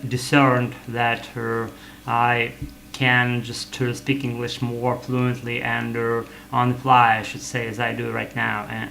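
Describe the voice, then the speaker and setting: A man whose first language is Russian, rambling in English in a vlog from his apartment, halting with many fillers and self-corrections. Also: medium pitch, gravelly, fairly bright tone, slight background noise, low-quality recording. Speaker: vlogger. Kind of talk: rambling English practice monologue.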